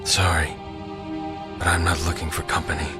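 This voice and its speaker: An actor playing a gruff, guarded guardian in a fantasy game, terse, menacing and world-weary. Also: male voice, deep voice, monotone, moderate pace, over loud music, natural voice. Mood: guarded, cold. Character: gruff, guarded male guardian, wary and threatening. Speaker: video game character. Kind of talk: scripted fantasy game dialogue.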